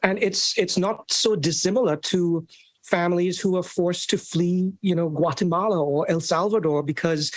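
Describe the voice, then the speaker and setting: A male interview host with a somewhat high voice reflects on what his guest said before asking his next question. He speaks in full, considered sentences. The recording is dry, with no room sound. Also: no echo. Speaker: podcast host.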